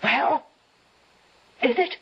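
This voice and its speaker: An actress playing a frail, suspicious, jealous wife in an old radio suspense drama, agitated and accusing. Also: female voice, drawn-out words, a bit bright. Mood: suspicious, interrogating. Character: frail, suspicious, bitterly jealous wife fearing she is being poisoned. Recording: dull, muffled vintage radio recording.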